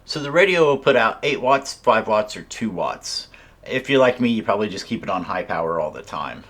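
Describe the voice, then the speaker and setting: A man's voice: on the low side, big pitch swings, fairly quick, a bit bright. A man giving a casual hands-on product tutorial, explaining things informally step by step as a reviewer. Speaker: radio reviewer.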